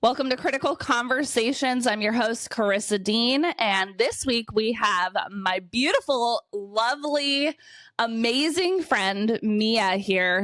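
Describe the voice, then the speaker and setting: A woman hosting a casual podcast over a call, a little sniffly today. She talks candidly and swears freely, telling stories with lots of animation. Her voice sits at a middle pitch, and the sound is very dry. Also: no echo. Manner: candid and profane, animated storytelling. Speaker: podcast host. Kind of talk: casual conversational chat. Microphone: remote call.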